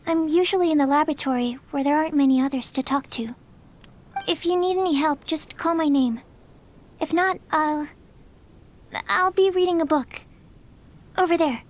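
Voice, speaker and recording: A woman voicing a shy, bookish young female alchemist's assistant in a video game, soft and girlish, halting and nervously polite. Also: sits high, lightly acted. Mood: shy, apologetic. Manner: timid, halting, apologetic, earnest. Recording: game music behind the voice.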